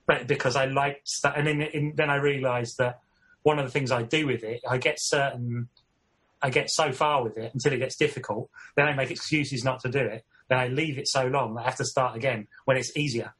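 Husky man, self-deprecating. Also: medium pitch, fairly even intonation, a little fast, a bit bright, poor audio. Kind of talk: casual chat.

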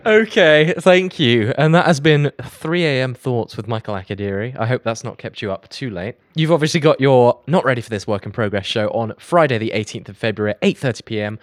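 A man's voice: mid-range voice, lively intonation, fairly quick, few pauses, no room sound. British male radio host, dry close sound. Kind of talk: radio interview presenting.

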